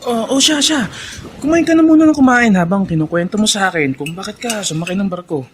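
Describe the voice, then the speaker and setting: Radio play lead: kindly well-off gentleman, higher register, very animated, protective, noisy track. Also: quick pace. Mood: kindly, insistent. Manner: friendly, reassuring, mixes in English. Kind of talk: radio drama acting.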